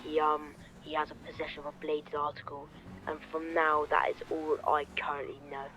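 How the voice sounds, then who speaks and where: A British man voicing a police officer in a game roleplay, reporting in a halting way with many ums. His voice is flat and fairly high, and the sound is dull and lo-fi. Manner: hesitant, many ums, unsure.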